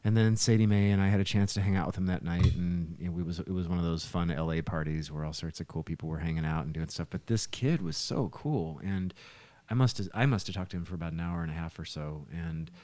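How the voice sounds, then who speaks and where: A man's voice: deep voice, quick pace, few pauses, mellow, no room sound. A man chatting casually and telling stories as a musician and songwriter on a podcast, speaking into a close microphone. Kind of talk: casual storytelling chat. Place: living room.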